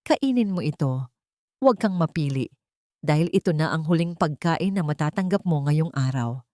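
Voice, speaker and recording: A woman's voice: low-pitched, lively intonation, moderate pace, mellow, dry recording, clean studio sound. A woman voicing a cruel, sanctimonious daughter-in-law in an audio story, preachy and commanding. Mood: cold, preachy. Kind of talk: audio story character dialogue.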